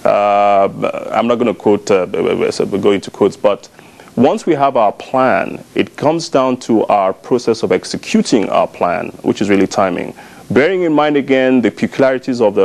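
A man, a farm management expert, giving an interview answer in a deliberate way, stressing key words. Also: on the low side, gravelly, a little mellow.

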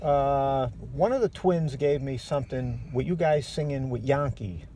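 A man's voice: medium pitch, measured pace, dark tone, a little hiss or hum. A man, a record collector, asking curious questions in casual chat from a parked car, recorded on a handheld phone. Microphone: phone in his hand.

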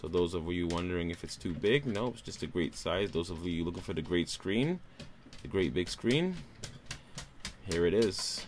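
A man with a very low-pitched voice walks viewers through a phone unboxing in a relaxed, upbeat tone. He calls his audience "guys" and speaks close to the mic. A faint hum sits under his voice. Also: normal speed. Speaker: tech reviewer. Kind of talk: casual unboxing voice-over.

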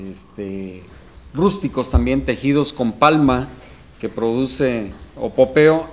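Man, forties, mexican accent, deliberate, fillers, desk mics, faint noise. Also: mid-range voice. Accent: Mexican Spanish. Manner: deliberate, many fillers, lists items. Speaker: federal forestry official. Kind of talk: press conference statement. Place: indoor press room. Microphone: desk microphones.

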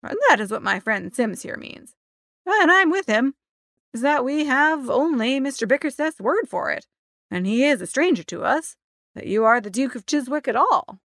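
A woman's voice: high-pitched, animated, normal speed, a bit bright, no echo, studio quality. A woman performing a hearty, eager American small-city businessman in an audiobook story. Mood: earnest, brisk. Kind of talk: audiobook character reading.

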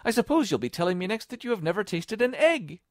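Casting: man to play an indignant, frantic mother pigeon for a storybook; shrill, high, scornful, loud outbursts. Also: sing-song, quick pace, dry recording, studio quality. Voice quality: shrill, screechy. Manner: accusing, contemptuous. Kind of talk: storybook character voice.

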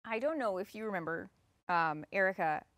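A woman's voice: mid-range voice, lively intonation, brisk, fairly bright tone, no echo. Woman host, close dry sound. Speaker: host, interviewer. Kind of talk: casual interview chat. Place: indoor studio set, seated.

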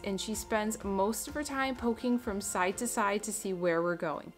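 A young woman, a pet vlogger, narrating her video in a cheerful, friendly scripted voice-over. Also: medium pitch.

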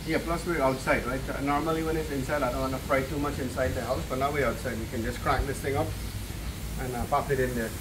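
A man's voice: mid-range voice, steady tone, fast talker. A middle-aged man from Guyana with a Guyanese accent, chatting and teasing in an easygoing way while he cooks on a covered outdoor porch. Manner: easygoing, teasing, chuckles often. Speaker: co-host cooking on camera. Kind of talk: casual cooking chat. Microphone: camera several feet away. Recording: distant mic, outdoor background noise.

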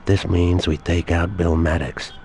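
An actor playing a controlled, quietly threatening male crime boss in a video game, speaking in a measured way. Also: low-pitched, level tone, a little hiss or hum. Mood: commanding. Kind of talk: cinematic video game cutscene acting.

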